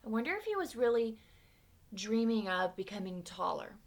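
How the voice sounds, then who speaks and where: A young woman at home teaching children new words and reading them a storybook aloud, with lively expression and soft whispered asides. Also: fairly high pitch, normal speed, creaky. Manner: animated, drops to whispers for asides. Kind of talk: storybook read-aloud with vocabulary lesson.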